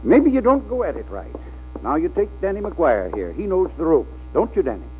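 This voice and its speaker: A man's voice: on the high side, wildly swooping pitch, normal speed, a little hiss or hum. An actor playing a folksy older hotel man in a 1940s radio drama, on a tinny old recording with music. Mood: chatty.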